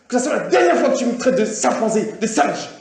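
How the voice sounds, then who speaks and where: An actor playing a hot-tempered Congolese man with a Congolese French accent, shouting insults in a film interrogation scene. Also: very high voice, big pitch swings, fairly quick, vocal fry, heavily acted. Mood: furious. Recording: echoey film room with score music.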